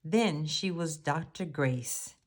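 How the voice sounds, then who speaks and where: Female storybook reader. Low register, unhurried pace, measured phrasing for young listeners. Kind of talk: read-aloud children's story narration.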